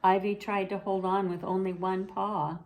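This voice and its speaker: A woman storyteller reading a children's bedtime story aloud in a measured, expressive way. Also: medium pitch.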